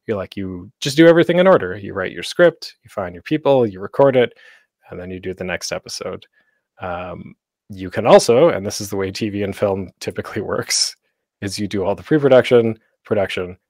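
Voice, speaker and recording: A man's voice: lowish voice, fairly quick, darkish tone, no echo. A man giving an informal, conversational instructional talk as a presenter, with a self-deprecating manner.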